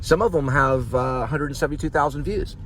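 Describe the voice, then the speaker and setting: A man's voice: medium pitch, brisk, fairly bright tone, a little hiss or hum. A man from the United States giving a rambling, informal vlog monologue in a conversational way, often checking in with the listener. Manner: conversational, checks in with "right?" and "you know". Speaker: vlogger, playwright and actor.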